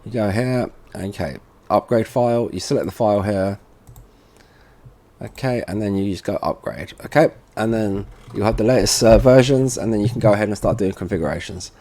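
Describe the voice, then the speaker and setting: A man giving an informal software tutorial, thinking aloud as he works at a computer. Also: on the low side, normal speed. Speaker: tutorial presenter for a security products seller. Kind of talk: casual software walkthrough narration.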